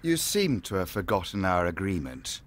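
An actor as a swooping, suave pagan lord. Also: male voice, sits low, sing-song, moderate pace, no room sound. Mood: coolly menacing. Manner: theatrical, urbane, condescending. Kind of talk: full-cast audio drama acting.

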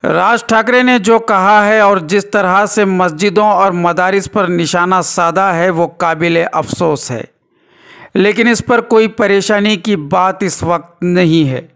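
High-pitched, clear-voiced male news reporter. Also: unhurried, fairly bright tone. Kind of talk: scripted news narration with commentary.

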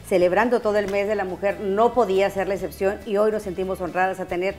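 A woman's voice: medium pitch, steady tone, quick pace, faint music behind. A woman hosting a morning TV show, introducing her guest and asking questions in an emphatic way. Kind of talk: TV studio interview. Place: TV studio set. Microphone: lapel.

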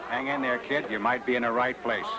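An actor playing a teasing, wisecracking husband at a meeting. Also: male voice, medium pitch, fairly even intonation, a little fast, a crowd in the background, close to an everyday voice. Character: gruff, teasing sober husband.

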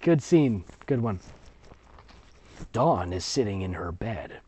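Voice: deep voice, sing-song, takes it slowly, warm tone, breathy edge. A theatrical reader narrating fan fiction in a comic, mocking way, with sarcastic asides.

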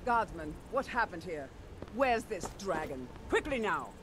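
An actor playing a stern, defiant military officer in a fantasy video game, urgently giving orders. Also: male voice, high voice, big pitch swings, unhurried, background noise.

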